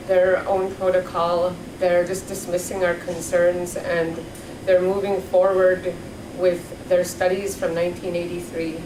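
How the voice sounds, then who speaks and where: A woman from Alaska, a community advocate, speaking urgently at a public forum. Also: mid-range voice. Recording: echoey distant hall recording with noise.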